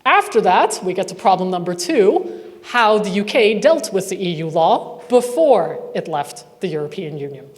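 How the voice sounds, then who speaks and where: A woman's voice: mid-range voice, big pitch swings, normal speed. A woman researcher presenting a report at a conference, conversational and wry with asides. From not from Northern Ireland. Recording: reverberant hall sound.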